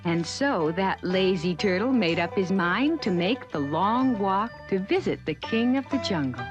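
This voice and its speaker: A woman storyteller narrates a children's animal tale with highly varied intonation. She is chatty and expressive, speaking to the listener over background music. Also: a little slow, highs cut off.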